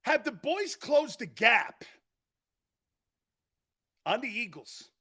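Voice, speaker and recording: A man's voice: very high voice, big pitch swings, slow, sharp tone, husky. A middle-aged man hosting a live sports talk show, joking and rambling, laughing often as he talks. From Italian-American family.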